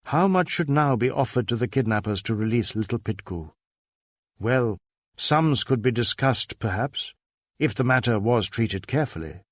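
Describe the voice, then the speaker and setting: A man's voice: medium pitch, animated, normal speed, no echo, studio quality. A man reading a crime novel aloud as scripted narration. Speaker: audiobook narrator.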